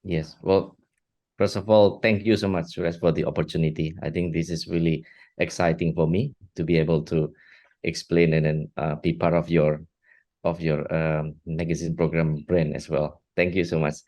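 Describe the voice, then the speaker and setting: A middle-aged man from Singapore, answering interview questions in a halting way, often restarting and repeating words. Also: extremely low pitch, flat delivery, darkish tone. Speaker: guest, art technologist and educator.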